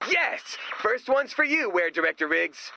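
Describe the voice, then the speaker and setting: A man voicing an excitable, naive, nerdy sidekick in a video game, earnest, chatty and goofy. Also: high-pitched, unhurried, vocal fry, no echo, full character voice. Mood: excited. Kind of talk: video game character voice lines.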